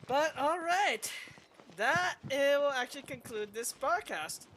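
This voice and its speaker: A woman's voice: sits high, lively intonation, measured pace, a bit bright, slight background noise, low-quality recording. A woman streamer giving casual live commentary as she plays a game. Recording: game music behind the voice.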